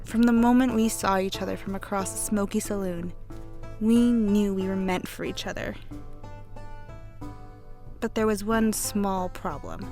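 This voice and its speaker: An actress playing a songstress in a western radio play, telling her love story with measured, dramatic phrasing. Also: mid-range voice, fairly even intonation, warm tone, faint music behind, close to an everyday voice. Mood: narrating, fond. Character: Aleta, beautiful songstress and devoted sweetheart narrating her western love story. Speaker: narrator and character. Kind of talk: western radio play narration and acted dialogue.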